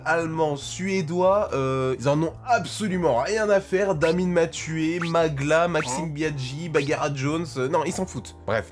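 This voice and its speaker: A young man with an accent from France, giving casual, opinionated YouTube commentary in a blunt, slangy way. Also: medium pitch. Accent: French from France.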